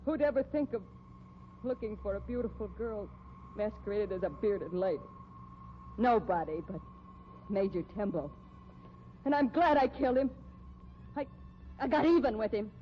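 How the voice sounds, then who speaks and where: An actress playing a desperate fugitive murderess in a 1950s radio mystery, melodramatic and halting. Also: female voice, mid-range voice, lightly acted. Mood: desperate, confessing. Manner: melodramatic, halting, overwrought confession. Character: desperate fugitive murderess posing as circus bearded lady. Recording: muffled, noisy old radio recording.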